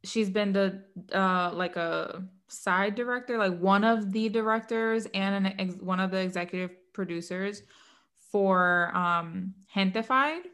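A woman's voice: mid-range voice, a little slow, fairly bright tone, smooth. A woman from Puerto Rico co-hosting a podcast in casual chat, chatty and laughing often, with lots of "like.". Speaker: podcast co-host.

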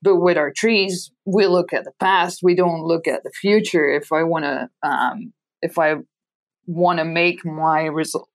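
Dutch-accented woman, thoughtful and lower-pitched. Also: fairly even intonation, a little slow. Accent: Belgian Dutch. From Belgium. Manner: thoughtful, many restarts and fillers. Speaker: scientist guest. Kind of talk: interview answers.

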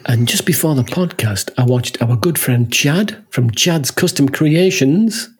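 A husky, slightly breathy man chatting casually as a podcast co-host. He laughs a lot and keeps checking in with a quick "huh?". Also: medium pitch, lively intonation. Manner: chatty, laughs often, tags lines with "huh?". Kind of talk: casual chat.

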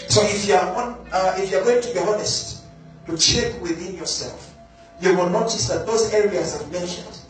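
A man preaching a sermon, slow and emphatic, repeating key words for stress. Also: high voice, far from the mic. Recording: very echoey room, voice from afar, faint music.

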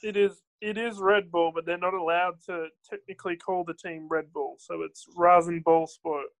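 A man chats easily on a podcast, polite and a little pedantic. His voice sits notably high. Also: steady tone, normal speed, fairly bright tone, rough recording. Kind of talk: casual podcast banter.

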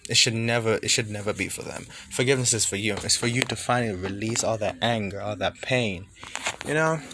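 A man's voice: lowish voice, brisk, noisy background. A young man from Jamaica talking casually and thinking aloud, conversational, with emphatic rhetorical questions. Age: young adult. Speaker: student sharing personal reflections.

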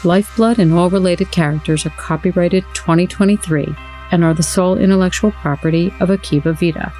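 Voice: mid-range voice, fairly even intonation, brisk, darkish tone, rough recording. Credits announcer over prominent music. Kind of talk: scripted credits read. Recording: theme music behind voice.

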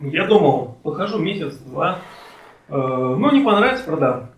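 A man in his late twenties in casual podcast conversation, speaking in a halting, hesitant way with many pauses. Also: fairly high pitch, very animated, distant mic. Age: late 20s, turning thirty. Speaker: podcast participant. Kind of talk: casual conversation about personal life. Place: friend's home, indoors. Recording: echoey room, off-mic, faint noise.

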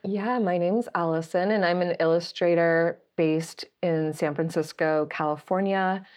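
A woman in her thirties, a guest on a podcast, hoarse and raspy from a cold, chatting openly and laughing often. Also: on the low side, fairly even intonation, unhurried, a little mellow. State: hoarse, getting over a cold. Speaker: guest illustrator. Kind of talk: casual interview chat.

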